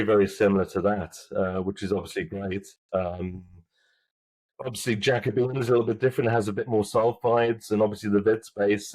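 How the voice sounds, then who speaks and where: Low-voiced man, halting interview answers. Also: a little mellow, no echo, poor audio. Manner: halting, many restarts and fillers. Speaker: mining company executive, guest.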